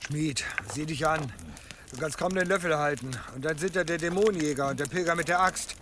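An actor playing a sceptical village miller, dismissive and urgent, in a radio drama. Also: male voice, a little high, brisk, noisy background, natural voice.

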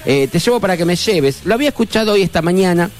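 A man's voice: sits high, expressive, fast. A middle-aged Argentine man hosting a radio show in casual, rambling chat full of fillers. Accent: Argentine Spanish. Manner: rambling, chatty, many fillers. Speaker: radio host. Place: radio studio. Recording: light music behind.

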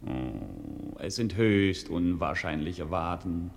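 An actor playing an impudent, gluttonous court fool in a comic radio play, clownish and full of sighs and exclamations. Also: male voice, deep voice, lively intonation, slow, gravelly, heavily acted. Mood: gleeful taunting. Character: cheeky, gluttonous male court fool, wily and irreverent.

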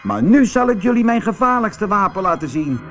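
A cheeky young schoolboy from a storybook, played by a male narrator, exclaims in a high, boyish voice. The pitch sits well up and swings widely. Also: big pitch swings, fairly quick. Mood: gleeful, boastful.

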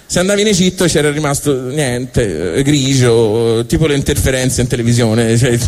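A man from Tuscany with a Tuscan accent, performing a comic storytelling monologue in a halting, colloquial way, often interrupting himself. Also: mid-range voice, lively intonation, a little mellow. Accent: Tuscan Italian. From Tuscany, Italy. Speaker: stand-up monologist.

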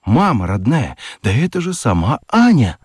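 Highly expressive male narrator. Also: moderate pace, husky, dry recording, studio quality. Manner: expressive, storytelling. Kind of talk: scripted narration for a radio play.

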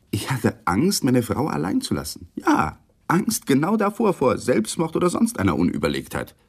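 A man's voice: fairly low pitch, gravelly, breathy edge, heavily acted. A man acting in a 1980s German radio crime play as a defensive, uneasy husband who gives hesitant, guarded answers to police. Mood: agitated, pleading. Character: defensive, uneasy husband under police questioning, awkward lawyer. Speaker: actor in a radio crime drama.

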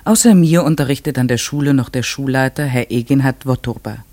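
A man acting a thoughtful teacher narrating a radio drama. His medium-pitched voice is measured and precise, reflective in tone, recorded close and dry. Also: a little mellow, no echo, lightly acted. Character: male village schoolteacher narrator recalling strange events, measured and reflective. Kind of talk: literary radio-play narration in German.

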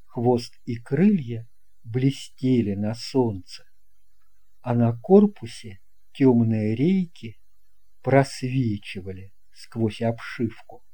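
A man narrating a children's tale as an audiobook reader, in a measured, expressive way. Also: medium pitch, husky. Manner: slow, measured, expressive reading.

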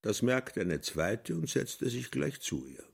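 Ein Mann spricht einen trockenen, erdigen Erzähler in einem Hörspiel. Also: male voice, mid-range voice, very animated, takes it slowly, gravelly, husky, exaggerated voice. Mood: wry commentary. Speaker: narrator. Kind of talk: literary radio play narration.

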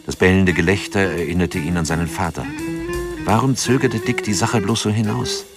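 A man narrating a radio play in a measured, grave manner. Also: sits low, over loud music. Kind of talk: scripted narration.

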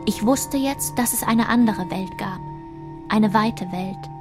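Actress as woman looking back on her girlhood, reflective measured radio narration, music underneath. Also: medium pitch, natural voice. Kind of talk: scripted literary radio narration.

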